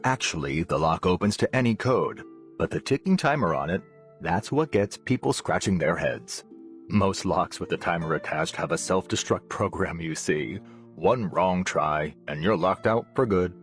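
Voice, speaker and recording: An artificial scientist for a video game, curious and officious. The voice sits low and swings widely in pitch, with fussy, scholarly precision. Light music runs behind it. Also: male voice, moderate pace. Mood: keen, explanatory. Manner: animated, fussily precise, scholarly. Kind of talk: video game character voice lines.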